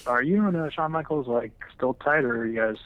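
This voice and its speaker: A man's voice: mid-range voice, a little fast, no room sound. A man calling in to a radio show, hesitant and full of fillers. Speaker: caller. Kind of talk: phone call-in question. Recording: dull dry phone line.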